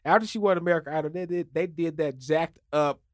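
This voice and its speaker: A man co-hosting a podcast in a studio, chatting casually, joking and laughing often in quick banter. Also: on the high side, no echo. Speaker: podcast co-host. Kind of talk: casual chat.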